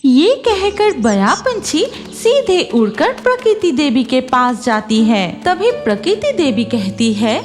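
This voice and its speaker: A woman's voice: high voice, very animated, slow, few pauses. A woman narrating a scripted cartoon story for children, with music behind her voice.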